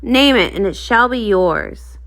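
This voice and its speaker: A woman's voice: on the high side, animated, unhurried, fairly bright tone. A woman voicing a stern female pony guard captain, speaking in a formal, ceremonious way for a storybook reading.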